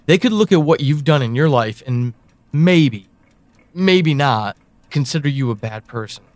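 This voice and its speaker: A man playing a brooding, knowing confidant in an audio drama monologue, speaking deliberately to one listener with pointed pauses. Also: on the high side, animated, dry recording. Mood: reassuring, grave. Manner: deliberate, halting delivery with sighs and pointed pauses. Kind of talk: audio drama monologue, second-person address.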